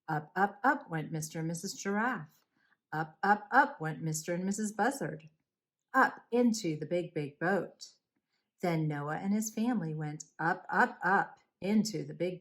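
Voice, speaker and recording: A woman narrating a bedtime story for young children, expressively and deliberately, with dramatic emphasis. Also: on the low side, fairly bright tone.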